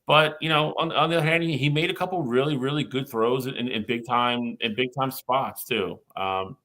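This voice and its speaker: A man co-hosting a sports podcast, measured and hesitant, often restarting his sentences. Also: medium pitch, sharp tone. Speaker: podcast co-host. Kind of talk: casual sports discussion.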